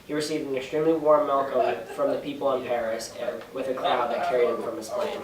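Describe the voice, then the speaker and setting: A man's voice: mid-range voice, level tone, quick pace, a loud crowd. A young man delivering a scripted amateur radio news story as the announcer. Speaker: news announcer in a student project. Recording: echoey room, distant mic.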